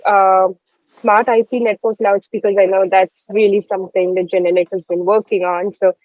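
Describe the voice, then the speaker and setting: A woman's voice: medium pitch, little pitch movement, normal speed, a little mellow, dry recording. Woman interviewer on dull, dry audio. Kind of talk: interview questions.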